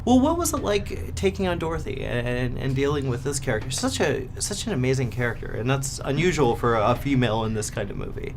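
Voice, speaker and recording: A woman's voice: a bass voice, big pitch swings, a little fast, few pauses, a little mellow, faint music behind. A woman interviewing actors at a film junket, enthusiastic and reactive. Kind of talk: entertainment interview.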